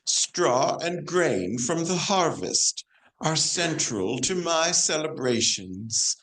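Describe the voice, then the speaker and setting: A dignified saint acted by a woman declaims scripted stage lines. The delivery is very unhurried, with lively rises and falls in pitch. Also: full character voice, poor audio. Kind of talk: amateur stage play, scripted monologue. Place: church hall, live performance.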